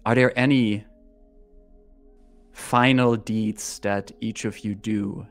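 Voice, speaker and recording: Male tabletop game master, deliberate storyteller, slightly low pitch, very unhurried delivery. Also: steady tone, faint music behind. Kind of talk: narrating a tabletop roleplaying game.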